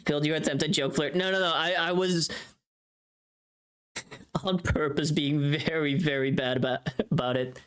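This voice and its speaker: A woman's voice: low voice, steady tone, moderate pace, long pauses, smooth. A woman chatting casually on a livestream in a playful, teasing manner, swearing now and then. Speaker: streamer.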